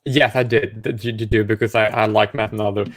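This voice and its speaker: A man from South Africa hosting a livestream, asking a stranger questions in halting, restart-heavy casual talk over a video call. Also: lowish voice, fairly even intonation, dry recording, studio quality. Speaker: livestream host chatting with strangers.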